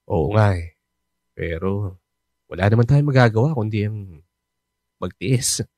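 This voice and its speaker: A chatty, joking coworker. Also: male voice, lowish voice, a little slow, darkish tone, no room sound. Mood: friendly. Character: chatty, helpful coworker who knows everyone. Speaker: fellow overseas worker. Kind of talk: radio drama acting.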